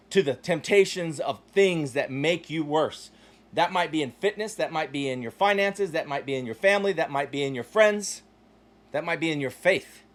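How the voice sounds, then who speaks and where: A man in his forties delivers a motivational podcast monologue with a slightly higher voice than most men. He talks bluntly and swears freely, punching some phrases hard and dropping others to a low aside. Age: 40s. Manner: blunt and profane, swinging from loud emphatic bursts to low asides. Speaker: podcast host.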